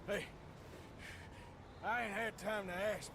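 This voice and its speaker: A weary American outlaw cowboy in a western game. He is blunt, gruff and loyal, pitched high for a man, and heard through loud background noise. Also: steady tone, takes it slowly, heavily acted. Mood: questioning. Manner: blunt, plain-spoken. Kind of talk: dramatic western video game acting.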